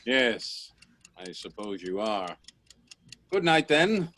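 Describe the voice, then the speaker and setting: An actor playing a confident, eccentric professor and inventor in a radio play, assured, formal and grandly insistent. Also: male voice, on the low side, sing-song, slow, a bit bright, heavily acted. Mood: curt, courteous.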